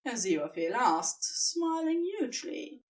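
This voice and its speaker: Woman narrator, highish voice, animated delivery, scripted story reading, slightly distant mic. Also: unhurried. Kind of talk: scripted fan fiction narration.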